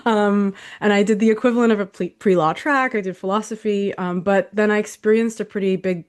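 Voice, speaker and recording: A woman's voice: mid-range voice, fairly even intonation, brisk, smooth. A middle-aged woman from Saudi Arabia, a historian, giving thoughtful, chatty answers in an interview over a video call. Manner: thoughtful, warm, with soft laughs and fillers. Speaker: historian guest.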